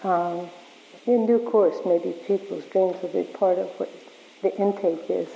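A woman leading a workshop, answering questions in a halting way with many restarts, her voice wearing thin. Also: lowish voice, fairly even intonation, vocal fry, mellow. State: losing her voice. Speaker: workshop leader. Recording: echoey hall, dull lo-fi sound.

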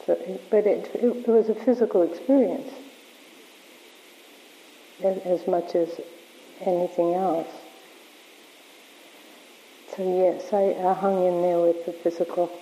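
Halting woman in an echoey hall. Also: lowish voice, fairly even intonation, warm tone, slight background noise. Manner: halting, restarts often. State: losing her voice. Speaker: workshop leader. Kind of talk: answering questions in a workshop. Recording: echoey hall, dull lo-fi sound.

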